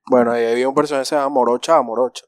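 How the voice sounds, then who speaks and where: A man's voice: medium pitch, steady tone, rapid-fire, dry recording. A man chatting casually on a podcast, with colloquial, slangy banter.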